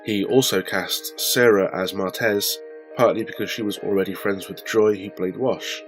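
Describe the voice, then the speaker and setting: A man's voice: fairly low pitch, fairly even intonation, moderate pace, faint music behind, poor audio. A man from a cast reading scripted behind-the-scenes notes. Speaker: cast member.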